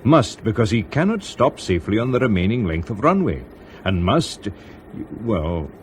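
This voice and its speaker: An actor playing a loyal, experienced airline chief in a radio drama, with a clipped, emphatic and assured manner. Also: male voice, on the low side, big pitch swings, moderate pace, slight background noise. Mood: firm, emphatic. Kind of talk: BBC radio drama acting.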